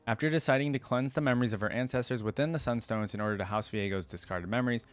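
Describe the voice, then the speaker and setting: A man's voice: mid-range voice, quick pace. A man hosting a reaction video, reading aloud and adding casual off-the-cuff commentary. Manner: casual, interjects brief reactions while reading.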